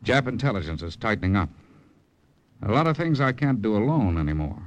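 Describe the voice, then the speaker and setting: An actor playing a calm American undercover agent in 1940s radio style, measured, confiding and reassuring. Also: male voice, on the low side. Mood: persuasive. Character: calm, steady American undercover spy, trusting and persuasive.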